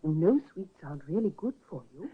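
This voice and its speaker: An earnest devoted daughter. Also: female voice, lowish voice, big pitch swings, fairly quick, slightly rough. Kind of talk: 1950s radio drama acting. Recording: tinny old radio broadcast.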